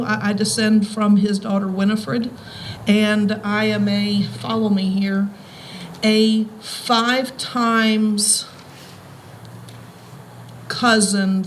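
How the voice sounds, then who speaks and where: An American woman from Virginia giving an informal public talk and reading aloud, addressing listeners directly in a folksy way. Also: mid-range voice. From Front Royal, Virginia, USA. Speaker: schoolteacher, heritage society president giving a reading. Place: live talk at a historic house tour. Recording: faintly noisy live event recording.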